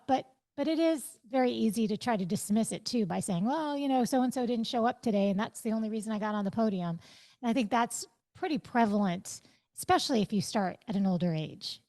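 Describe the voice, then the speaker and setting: A woman in her fifties, a podcast interview guest, talking in a reflective, animated way and stressing the thoughts she quotes. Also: a little high. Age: 50s.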